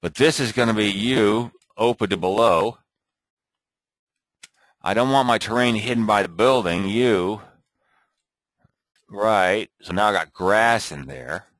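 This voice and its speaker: Low-voiced man muttering through a tutorial. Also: a little slow, pauses often, fairly bright tone, no echo. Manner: rambling, thinking aloud, muttering to himself. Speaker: software tutorial presenter. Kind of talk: off-the-cuff screen recording tutorial.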